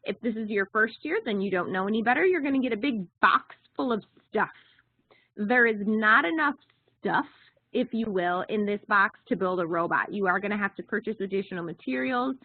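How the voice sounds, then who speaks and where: A woman's voice: mid-range voice, lively intonation, a little fast, dry recording. Woman, call co-host, informal explainer, narrow phone-line sound, dry and close. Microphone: telephone.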